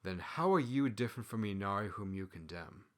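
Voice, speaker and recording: A man voicing a calm, forgiving friar in a storybook, asking measured, probing questions. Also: fairly low pitch, close to an everyday voice. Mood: calm challenge.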